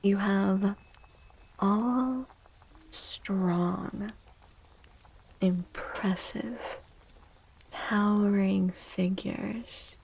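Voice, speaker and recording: A woman hosting a soft-spoken ASMR tarot stream, talking gently and breathily, often near a whisper, with drawn-out delivery. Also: mid-range voice, fairly even intonation, vocal fry, husky, poor audio. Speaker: livestream host giving tarot readings.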